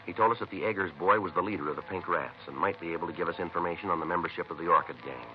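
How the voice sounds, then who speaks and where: A man playing a methodical American police detective in a 1950s radio crime drama. His very low voice moves quickly through times and facts, flat in feeling and terse. The old recording is dull, with faint music behind it. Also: close to an everyday voice. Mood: clipped, deadpan. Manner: clipped, matter-of-fact, deadpan. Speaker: narrator and lead detective.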